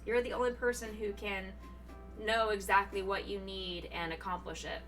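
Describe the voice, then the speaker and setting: A woman's voice: level tone, moderate pace, fairly bright tone, distant mic. A young woman streaming from home, chatting casually and playfully with chat while fighting off hiccups. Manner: chatty and playful, swings into high surprised exclamations. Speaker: Twitch streamer. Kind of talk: casual live-stream chat, reading chat messages. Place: home room. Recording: voice a little off-mic, music behind.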